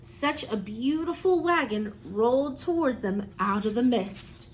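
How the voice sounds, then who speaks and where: A woman teacher reading a picture book aloud expressively, pausing to encourage young listeners with questions and praise. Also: fairly high pitch, expressive, a little hiss or hum, rough recording.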